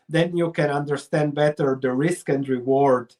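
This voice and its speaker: A middle-aged man from Croatia speaks with a Croatian accent in a somewhat high voice. He sounds like an interview guest, laying out his reasoning step by step with examples. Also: fairly even intonation, normal speed, darkish tone. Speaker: investor and researcher, interview guest. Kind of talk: explanatory interview talk.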